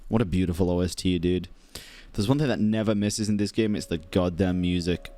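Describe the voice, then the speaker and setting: A man's voice: deep voice, a little fast, mellow, faint music behind. A man doing casual, slangy gameplay commentary as a streamer, reacting and joking. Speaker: game streamer.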